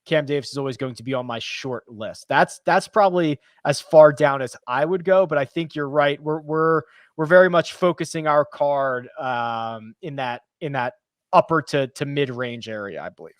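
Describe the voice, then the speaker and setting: A man hosting a casual golf betting chat, brisk and analytical, who laughs easily. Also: a little high, no room sound. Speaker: golf betting show host.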